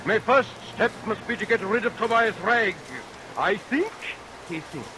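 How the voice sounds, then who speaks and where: A murderous Victorian villain barber in an old radio melodrama. His delivery is high, slow and hugely swooping, gloating and theatrical, over clearly noisy, rainy sound. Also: animated, pushed and bright, full character voice. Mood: gloating, scheming. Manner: theatrical, declamatory, relishing his threats. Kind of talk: vintage radio play melodrama acting. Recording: vintage radio recording under rain noise.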